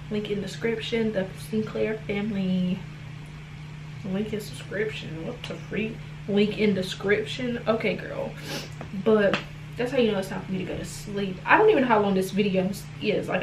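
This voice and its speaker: A young woman talking casually to her camera at home as a vlogger, chatty and rambling, often calling her viewers "y'all". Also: mid-range voice. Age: young adult. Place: home room indoors, bathroom later. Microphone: phone or camera propped low, close. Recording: echoey room with noise.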